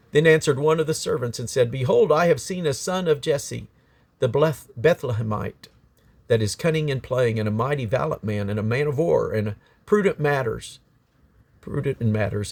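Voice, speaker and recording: A man's voice: a little high, vocal fry, no room sound. A man reading scripture aloud in a declamatory way, in short phrases with occasional small stumbles. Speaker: Bible reader. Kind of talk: scripture read aloud from the King James Bible.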